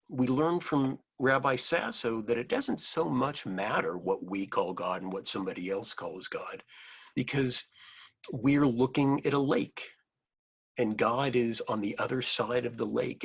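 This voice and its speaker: A man reading a picture book aloud to children, adding simple explanatory asides in an expressive manner. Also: medium pitch, vocal fry, slightly rough.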